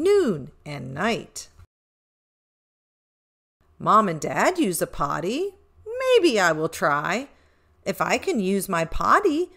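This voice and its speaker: A middle-aged woman hosting a children's show, reading aloud expressively and deliberately in a sing-song way for toddlers. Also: mid-range voice, a bit bright.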